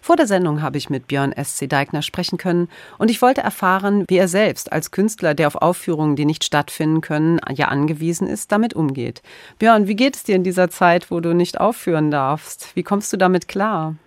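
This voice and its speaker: A woman's voice: lowish voice, a little fast, no echo. Woman radio host in a dry studio. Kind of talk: radio presenting and interviewing.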